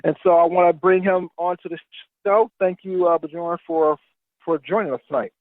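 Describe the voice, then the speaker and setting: A man's voice: high voice, fairly even intonation, normal speed, dry recording. A man hosting a podcast, giving announcements and introducing a guest in a rambling, casual way with many fillers. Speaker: podcast host. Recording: dry phone-line sound.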